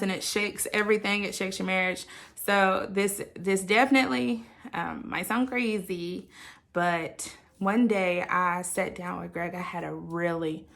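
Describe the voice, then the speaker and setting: A woman sharing a personal story with listeners in a candid, halting, reflective way, often qualifying what she says and voicing her own thoughts expressively. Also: mid-range voice, creaky, fairly bright tone, slight background noise. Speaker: host of a personal channel.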